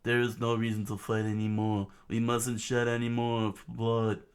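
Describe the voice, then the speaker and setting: A man's voice: medium pitch, monotone, normal speed, smooth, voice pushed up, natural voice. A man voicing an earnest male rebel leader, pleading for peace.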